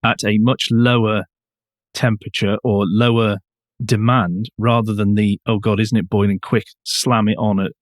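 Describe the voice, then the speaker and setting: Low-voiced man, dry studio sound. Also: level tone, normal speed, no room sound. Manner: opinionated, emphatic. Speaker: podcast co-host. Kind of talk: casual discussion of car news.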